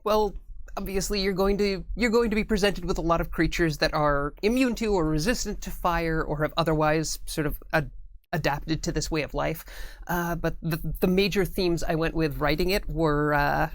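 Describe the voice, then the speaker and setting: Woman, slightly low voice, dry close studio sound, casual guest chat, wry and self-deprecating. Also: no echo. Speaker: guest writer.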